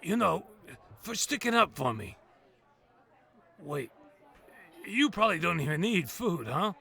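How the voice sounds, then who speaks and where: Man acting a high-voiced creaky folksy diner owner. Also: very animated, a little slow, bright, forceful tone, full character voice. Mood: relieved, grateful. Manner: folksy, hesitant, chatty. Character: friendly old diner owner, folksy and nervous. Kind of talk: audio drama acting.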